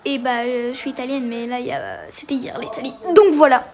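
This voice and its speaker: High-voiced woman chatting through a game. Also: steady tone, brisk, a little mellow. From Portugal. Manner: hesitant, many fillers, chatty. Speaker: gaming video creator. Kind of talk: casual game walkthrough commentary.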